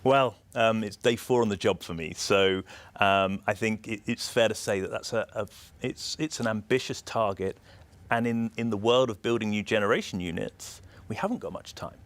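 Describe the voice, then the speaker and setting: A man with a British accent giving measured, explanatory answers as an executive being interviewed. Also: on the low side. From United Kingdom. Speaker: energy system operator executive, interview guest.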